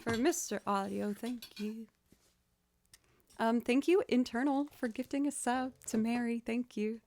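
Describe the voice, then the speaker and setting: A young woman with a lower voice, chatting casually on a live music stream, self-deprecating and quick to laugh. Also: a little mellow.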